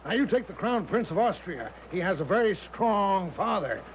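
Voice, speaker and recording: A high-pitched, breezy confidence-man major in an old radio play. He coaxes grandly and reassures with total self-assurance. The recording is dull, with steady noise behind it. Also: moderate pace. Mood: boastful. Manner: grandly self-assured, coaxing showman's patter. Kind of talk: 1970s radio drama acting.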